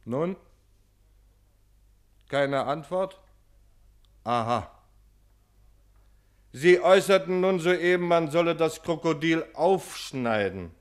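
An actor playing a pedantic, condescending male police commissioner in a radio play, officious and deliberate. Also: a little high, animated, clear, resonant tone. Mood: deliberate, probing.

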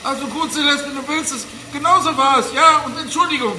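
A man's voice: very high-pitched, fairly quick. An actor playing a cynical, desperate male captive banker in a crime radio drama, sardonic and pleading. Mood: exasperated. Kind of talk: modern German radio crime drama acting. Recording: echoey room with clear background noise.

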